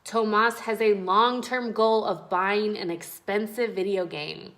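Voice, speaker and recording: A woman reading aloud and explaining words as a teacher speaking to children, in a clear, explanatory way. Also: mid-range voice, fairly even intonation, measured pace, a bit bright. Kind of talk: children's read-aloud and vocabulary lesson.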